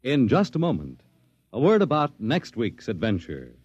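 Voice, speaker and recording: Man, 1950s radio announcer, dramatic scripted narration, deliberate slow delivery, music behind, dull vintage recording. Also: mid-range voice, wildly swooping pitch, darkish tone.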